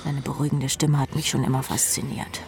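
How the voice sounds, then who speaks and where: A woman playing a jaded, sarcastic rock drummer who narrates her misadventures in a radio play, wry, deadpan and slangy. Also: rumbling low, a little fast, gravelly. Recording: music behind the voice.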